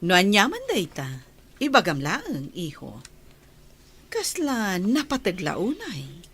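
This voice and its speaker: A woman's voice: mid-range voice, huge pitch swings, slow pace, fairly bright tone, slightly rough, exaggerated voice. A woman playing a doting, inquisitive mother in a radio drama, drawing out her words coaxingly. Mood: coaxing, curious.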